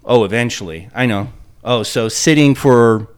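A man co-hosting a podcast in casual chat, halting and restarting his sentences as he thinks aloud. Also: medium pitch, clear, resonant tone. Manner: halting, trips over words. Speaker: podcast co-host.

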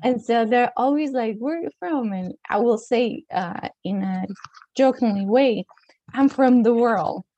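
Mellow, high-voiced woman with a Latin American Spanish accent. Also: a little slow, no echo, lo-fi sound. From Mexico. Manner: chatty, searching for words, laughs often. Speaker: guest, dance teacher. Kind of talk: casual interview talk.

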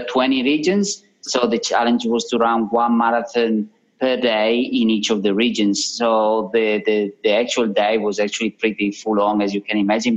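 A man from Italy with an Italian accent, a podcast guest answering questions in a halting way with many fillers and restarts. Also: fairly low pitch, highs cut off. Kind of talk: casual interview answers.

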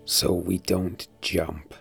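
Creaky, low-voiced pirate narrator. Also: male voice, completely flat delivery, drawn-out words, fairly bright tone, full character voice. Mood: grim. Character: urgent male pirate war profiteer narrating his own tale. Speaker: narrator and lead character. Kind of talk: first-person dramatic narration and audio drama acting. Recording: music behind.

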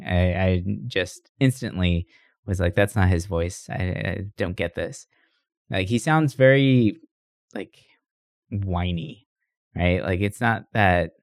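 A man's voice: on the low side, dry recording, studio quality. A man co-hosting a podcast, chatting casually about games in a rambling, informal way. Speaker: podcast co-host. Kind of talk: casual gaming chat.

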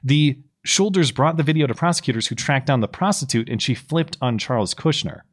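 A slightly hoarse man co-hosting a podcast, recapping political news in a casual, explanatory way with plenty of fillers. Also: medium pitch, animated, brisk. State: slightly hoarse, losing his voice. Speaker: podcast co-host.

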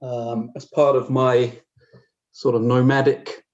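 A man in his forties with an English accent speaks at a middle pitch through slightly dull, lo-fi audio. He talks as a podcast guest, thinking aloud, often repeating words and feeling his way through sentences. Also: level tone, takes it slowly, darkish tone. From south coast of England. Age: 40s. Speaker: interview guest, street photographer. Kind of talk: conversational podcast interview.

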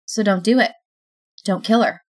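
A woman voicing a tall, brash young woman yelling in screechy, angry bursts. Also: medium pitch, moderate pace, close to an everyday voice. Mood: pleading, defiant.

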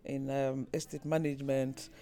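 A woman's voice: a bass voice, level tone, normal speed, dark tone. A woman from Nigeria, introducing herself in an interview with frequent hesitations and fillers. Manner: hesitant, many fillers and restarts. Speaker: interviewee, managing director.

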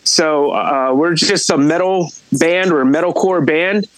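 Guy in his late 40s from puerto rico, band guitarist, rambling and relaxed, dry close sound. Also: a little high, a little slow, no echo, poor audio. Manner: relaxed, rambling, lots of fillers. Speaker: metal band guitarist, guest. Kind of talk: casual interview.

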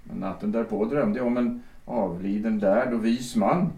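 A man's voice: on the low side, distant mic, dull audio, natural voice. An actor playing a weary dead man who was a schoolmaster, telling of a dream in a plain, reflective way. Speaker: main character. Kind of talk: audio drama acting. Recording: roomy reverberant room.

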